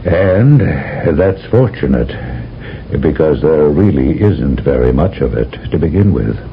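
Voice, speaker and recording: A grave, commanding general. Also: male voice, rumbling low, lively intonation, full character voice. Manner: measured, solemn, lecturing. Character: grave older male general, commanding, briefing an agent. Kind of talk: 1970s radio drama acting. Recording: dull lo-fi old radio broadcast with faint hiss.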